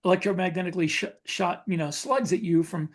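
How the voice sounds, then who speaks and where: Male podcast co-host, high-pitched voice, casual and opinionated explainer. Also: fairly even intonation, quick pace. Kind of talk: casual chat about guns and military life.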